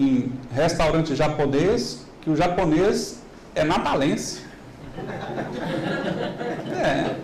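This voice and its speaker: Middle-aged male lecturer with a Minas Gerais accent, lively and quizzing the audience; echoey hall with faint noise. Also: medium pitch. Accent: Minas Gerais Brazilian Portuguese. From Minas Gerais, Brazil. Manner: animated, asks the audience rhetorical questions. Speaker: business lecturer. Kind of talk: motivational business talk. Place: large hall.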